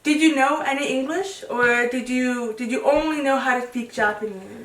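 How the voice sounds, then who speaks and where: A woman's voice: high voice, moderate pace, a bit bright. A young woman presenting and interviewing informally as a student, in a conversational, checking-in way. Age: young adult. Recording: echoey room, distant microphone.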